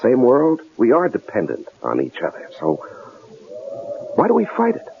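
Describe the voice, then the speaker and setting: An actor playing a devoted, weary husband in a 1970s radio drama, halting and sincere, on a muffled, noisy recording. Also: male voice, mid-range voice, wildly swooping pitch, creaky. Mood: sincere, pleading. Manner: halting, soft-spoken, sincere.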